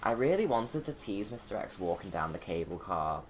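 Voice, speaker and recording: A woman's voice: rumbling low, sing-song, normal speed. A woman giving informal, rambling commentary on her own game movie. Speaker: video creator, commentator. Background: game audio and ambience.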